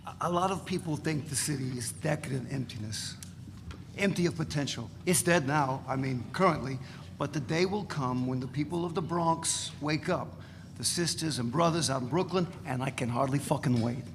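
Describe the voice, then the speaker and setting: A man's voice: on the high side, moderate pace, natural voice. A man playing a swaggering, foul-mouthed radical revolutionary, emphatic and sardonic, in a dramatic reading of a novel. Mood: fervent. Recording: noisy, reverberant hall recording.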